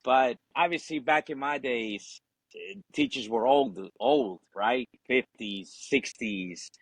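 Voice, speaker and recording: A man's voice: mid-range voice, big pitch swings, slow pace, creaky, breathy edge, no echo. A man, telling his story casually and informally as a guest. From Ecuador. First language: Spanish. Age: 40s. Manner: informal, frequent "you know" and "obviously", swearing. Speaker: podcast guest, Marine veteran.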